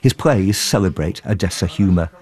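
A man's voice: deep voice, lively intonation, a little mellow. A male radio reporter reading scripted narration and putting short questions to people in the field. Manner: measured, reads narration then asks short pointed questions.

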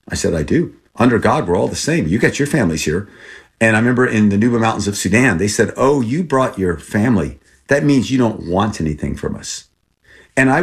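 A man's voice: fairly low pitch, husky. An American man in his sixties, a native Thai speaker, telling personal stories in an interview in an earnest, plain-spoken way with short phrases. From born in Texas, grew up in Thailand. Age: 60s. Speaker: interview guest, humanitarian group founder.

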